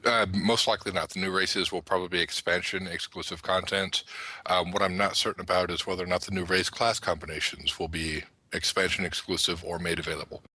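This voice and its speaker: Deep, bright-toned man explaining. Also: flat delivery, fairly quick, breathy edge. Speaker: podcast panelist. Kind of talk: explanatory news discussion.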